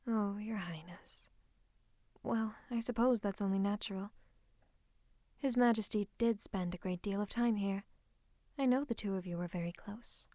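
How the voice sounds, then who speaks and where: A woman playing a scheming royal advisor in a fantasy roleplay, speaking close to the listener in a coaxing, teasing, falsely comforting way with breathy asides. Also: mid-range voice, normal speed, pauses often, dark tone, no echo, natural voice. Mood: sympathetic, silky. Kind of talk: roleplay ASMR monologue to the listener. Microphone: close microphone.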